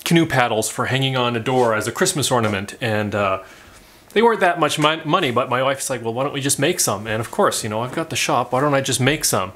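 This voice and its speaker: Chatty middle-aged man in his workshop. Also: medium pitch. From Canada. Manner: relaxed and chatty, with frequent "uh" and "um". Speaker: woodworking channel host. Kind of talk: casual workshop explainer. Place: home woodworking shop, indoors.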